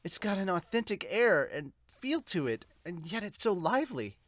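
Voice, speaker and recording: A man performs a brash teenage boy from a manga dub, excitable and blunt. The voice sits high and jumps around freely, recorded dry and close. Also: normal speed, no echo. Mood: excited. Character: brash, carefree teenage boy student chef.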